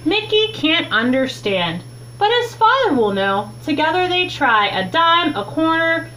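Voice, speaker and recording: A young adult woman reads a children's book aloud with expressive, deliberate phrasing, recorded in a small room at home on a webcam mic. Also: high voice. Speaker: teacher reading a children's book. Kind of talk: read-aloud storytime narration. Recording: clearly noisy, humming home recording.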